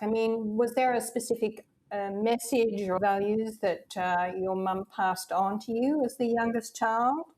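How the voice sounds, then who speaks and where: Woman, podcast host, mid-pitched, clear, measured, scripted intro, interview questions. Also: darkish tone.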